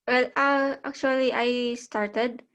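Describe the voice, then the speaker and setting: A woman's voice: on the high side, fairly even intonation, slow, a bit bright. A woman from the Philippines with a Filipino accent, speaking hesitantly on a casual group video call. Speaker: call center trainee.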